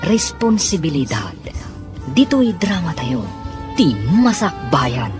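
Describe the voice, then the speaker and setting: A woman's voice: low-pitched, sing-song, slow. A woman announcing and narrating a radio drama in a scripted, theatrical, declamatory style. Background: music.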